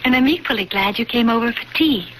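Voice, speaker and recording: A woman's voice: on the high side, animated, a bit bright. An actress playing a seductive, sinister woman who secretly craves youth, in a coaxing, intimate 1970s radio mystery style. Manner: coaxing, deliberate, intimate delivery. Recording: noisy 1970s radio drama recording.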